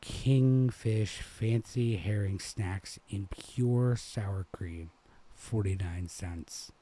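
A man from New England narrates literary fiction. His voice is low and dark, with a husky grain, and he reads expressively. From New England, United States. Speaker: reader.

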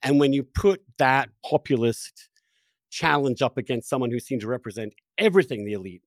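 Male American interviewer, mid pitch, animated swings, opinionated and discursive, casual political chat. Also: no room sound. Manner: discursive, opinionated, halting and searching. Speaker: interviewer, host.

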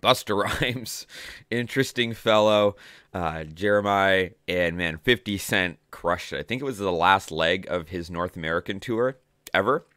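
A hoarse young man talks through his sports betting picks in a loose, chatty way. His voice sits low and sounds slightly rough. The recording is close and dry, with no room sound. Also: unhurried, a bit bright, dry recording. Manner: conversational, with frequent fillers and self-corrections. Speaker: sports betting show host. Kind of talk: casual solo sports picks talk.

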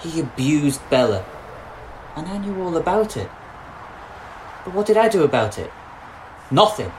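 An actor playing a distraught, bitter suspended policeman in an audio drama, speaking in an anguished, halting way. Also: a little high, sing-song, slight background noise, poor audio. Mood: defensive, anguished. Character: distraught suspended young policeman, bitter, guilt-ridden lover. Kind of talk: audio drama monologue and dialogue.

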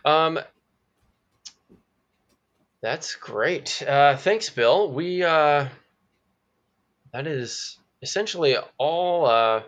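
A male podcast host speaks in a bright, forward voice, leading the talk with friendly prompts and frequent filler words. His audio sounds slightly muffled and lo-fi. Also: mid-range voice. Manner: friendly, uses many fillers and affirmations. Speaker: podcast host, church fellow. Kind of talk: casual interview hosting.

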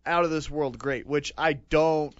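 A man's voice: fairly high pitch, fairly even intonation, unhurried, dry recording, lo-fi sound. A man in his late twenties co-hosting a podcast, rambling and joking in casual chat. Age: 20s. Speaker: podcast co-host.

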